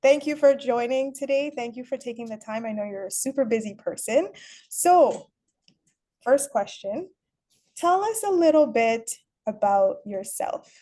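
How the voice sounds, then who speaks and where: A woman's voice: fairly high pitch, lively intonation, measured pace, mellow. A woman from Guyana hosting a chatty, upbeat interview and laughing often. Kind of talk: casual recorded interview.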